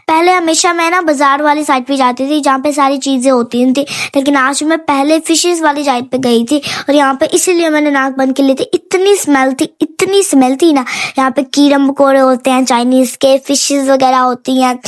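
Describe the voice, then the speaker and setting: Woman vlogger, bright high voice, rambling and chatty, a bit hoarse. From Pakistan. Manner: chatty, repeats phrases, playful asides. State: hoarse from a sore throat and fever. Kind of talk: casual vlog narration.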